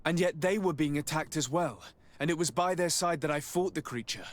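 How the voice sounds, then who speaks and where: Male actor as principled noble envoy, slightly high voice, measured, formal, serious, video game drama. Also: natural voice. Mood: serious, reporting. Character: earnest, principled noble envoy, loyal younger man.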